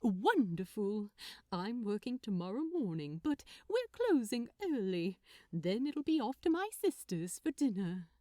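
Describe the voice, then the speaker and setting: A woman voicing a bright, polite, gentle male gift-wrapper with a courteous, prim manner in a story reading. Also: medium pitch, very animated, unhurried, no room sound, studio quality. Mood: cheerful.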